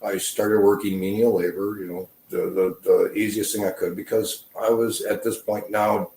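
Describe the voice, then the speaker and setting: A man's voice: fairly low pitch, level tone, normal speed, gravelly, a little mellow, reverberant. A man in his fifties, a veteran guest talking casually in a podcast with a rambling, self-deprecating manner and many fillers.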